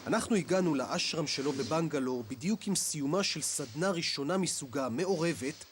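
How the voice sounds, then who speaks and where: A man's voice: a little high, animated, racing, a little hiss or hum. An Israeli man narrating a scripted television report as its reporter. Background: music.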